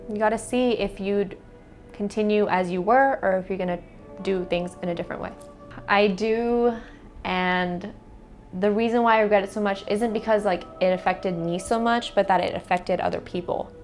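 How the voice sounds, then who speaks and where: Young American woman, chatty and casual. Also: mid-range voice. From United States. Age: young adult. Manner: chatty, with frequent "like" fillers. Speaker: interviewee. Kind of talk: casual interview answers.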